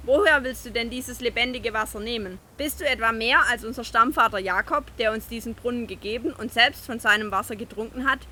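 A woman's voice: fairly high pitch, fairly quick, few pauses, bright, forceful tone, slight background noise. An actress playing a frank, questioning Samaritan village woman in a Bible audio drama, speaking expressively. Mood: surprised, doubtful.

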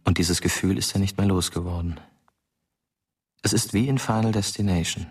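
Plain-spoken teen ghost narrator for radio drama male actor: very low, understated, clear vehicle noise behind. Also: fairly even intonation, moderate pace, natural voice. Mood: grave.

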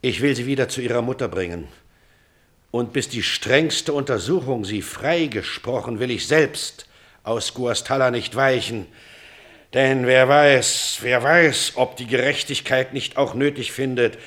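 A man's voice: mid-range voice, measured pace, fairly bright tone, lightly acted. An actor playing a proud, anguished older father in a classical German radio drama, speaking formally with bitter restraint. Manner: grave, formal, weighty, bitterly ironic.

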